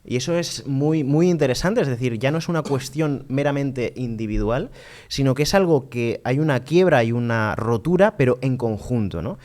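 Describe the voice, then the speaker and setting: A man's voice: mid-range voice, expressive, normal speed, a little mellow. Man hosting a podcast talk. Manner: friendly, leads the talk, explanatory. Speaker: podcast host, lawyer. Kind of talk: casual podcast chat.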